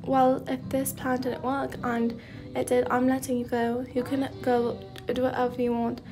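A resigned villain boss in a fan story, played by a woman, speaking in a high, level voice with little drama. The tone is matter-of-fact, with faint music underneath. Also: little pitch movement, moderate pace, close to an everyday voice. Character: weary male villain boss releasing his followers.

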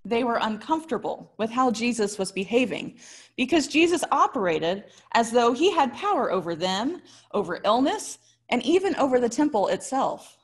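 An American woman in her thirties giving a prepared but conversational sermon as a pastor, with expressive, articulate storytelling. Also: on the high side, normal speed, husky. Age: 30s. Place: small indoor room.

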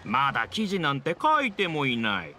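A man's voice: mid-range voice, moderate pace, slight background noise, full character voice. An actor playing a pushy, smug middle-aged male reporter in a video game, with an oily, scornful way of talking. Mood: sneering, smug. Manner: oily flattery turning to sneering scorn. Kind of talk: dramatic Japanese video game voice acting.

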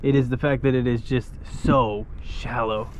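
A young American man giving casual, excited running commentary to his viewers while fishing from a kayak outdoors, picked up by a camera mounted on the boat. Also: medium pitch, normal speed, very noisy. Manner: excited, loose, swears casually, talks to viewers as guys. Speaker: fishing vlogger. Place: kayak on open shallow water outdoors. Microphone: action camera mounted on kayak.

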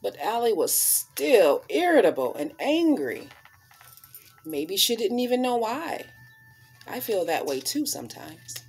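A woman in her thirties reading aloud to children as a storyteller, with deliberate, dramatic emphasis on key words. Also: medium pitch, very animated, a little mellow, slightly rough, soft background music. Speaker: storyteller reading a picture book. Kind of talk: expressive read-aloud for children. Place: small living room, indoors.

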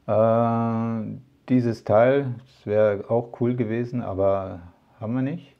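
A man's voice: medium pitch, fairly even intonation, slow pace, highs cut off. A man talking casually to camera as a tech vlogger, rambling with many fillers and asides. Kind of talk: casual product talk to camera.

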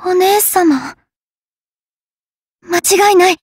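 A woman's voice: very high-pitched, drawn-out words, no room sound, clean studio sound, exaggerated voice. A woman playing an earnest, reserved young valkyrie in an anime game, speaking hesitantly. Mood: overwhelmed. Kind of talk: anime mobile game voice acting.

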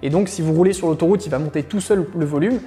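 Fast-talking Frenchman with a mellow voice. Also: a little high, faint music behind. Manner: explanatory, conversational. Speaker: motorcycle gear reviewer. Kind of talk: casual product review to camera. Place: small indoor room at a desk.

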